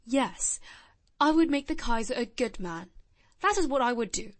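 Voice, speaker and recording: A woman's voice: a little high, expressive, normal speed, no room sound, natural voice. A woman voicing a shy, blunt, earnest little boy of nearly ten in a storybook reading.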